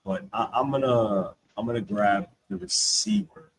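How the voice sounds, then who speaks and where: Animated man, lively pitch, slangy. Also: a little slow, slight background noise, rough recording. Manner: animated, slangy, says bro a lot. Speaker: draft show co-host. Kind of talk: casual mock draft chat.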